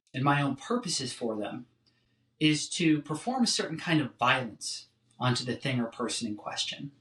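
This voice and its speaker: A man delivering a scripted academic lecture in a measured, articulate, emphatic way, like a professor. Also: mid-range voice, a bit bright. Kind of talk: scripted philosophy lecture narration. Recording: roomy, echoing sound.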